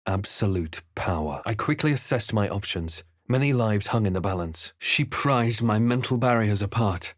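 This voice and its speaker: An actor playing a composed, brooding adventurer in a video game, recounting the journey with measured, grave, dramatic narration. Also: male voice, lowish voice, lively intonation, dry recording, clean studio sound.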